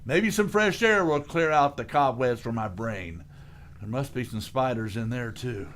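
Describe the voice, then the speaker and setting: A man's voice: mid-range voice, expressive, moderate pace, natural voice. An actor playing a bumbling, blustering working-class father in a 1940s radio sitcom, stammering and exasperated. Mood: glum.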